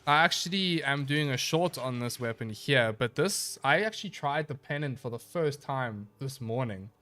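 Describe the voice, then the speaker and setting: A relaxed man talks through a live gaming stream into a desk mic, rambling loosely and answering viewers as he goes. His voice sits at an ordinary middle pitch and carries a slight stuffy, nasal edge. Quiet game music plays underneath. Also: moderate pace. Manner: laid-back, rambling, reads chat aloud and answers. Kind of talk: casual live-stream chat while gaming.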